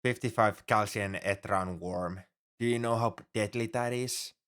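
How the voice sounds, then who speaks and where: Casting: male actor for a paranoid alien shipmate, lowish voice, formal and fretful, for a sci-fi roleplay audio drama. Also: fairly even intonation, a little slow, a bit bright, clean tone. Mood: alarmed, insistent. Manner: fretful, formal, over-earnest, flustered. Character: anxious, paranoid male alien crewmate fussing over human.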